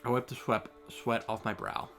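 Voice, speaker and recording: Man voicing an earnest young male apprentice mage, quiet solemn inner monologue in visual novel style, music behind. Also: mid-range voice, wildly swooping pitch, gravelly, full character voice. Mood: grave, relieved. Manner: hushed, solemn, measured narration. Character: earnest, determined young male student of magic. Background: background music.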